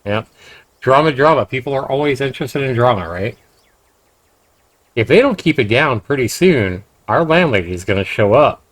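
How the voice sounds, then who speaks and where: A man's voice: mid-range voice, expressive, normal speed, no room sound. A man hosting a game livestream, reading narration aloud and dropping in casual comments. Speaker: livestream host.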